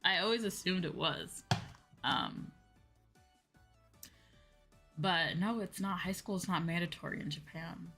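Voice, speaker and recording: A woman streamer chatting casually and answering viewers in an animated, conversational way, often repeating phrases for emphasis. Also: fairly low pitch, a little slow, pauses often. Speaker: VTuber streamer; high school teacher. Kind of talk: casual livestream chat, replying to viewers. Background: background music.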